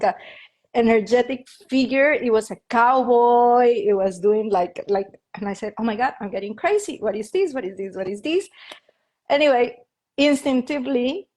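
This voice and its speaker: A woman's voice: a little high, a little mellow. A woman in her fifties from Colombia, with a Colombian Spanish accent, telling her life story in a casual interview with many "like" fillers. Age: 50s. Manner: rambling, with frequent "like" fillers and light laughs. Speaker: interview guest, feng shui and Reiki practitioner.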